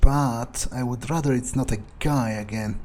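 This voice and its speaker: A man's voice: medium pitch, steady tone, normal speed, mellow, soft background music. A man doing in-character gameplay commentary, thinking aloud in a musing, muttering, crude way. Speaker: role-playing game streamer, speaking in character. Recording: faint noise and game ambience.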